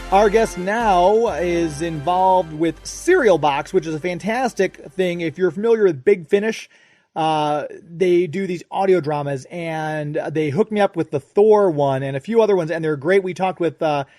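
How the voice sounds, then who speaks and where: Higher-pitched man hosting a radio interview. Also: normal speed, darkish tone, soft background music. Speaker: radio show host and interviewer.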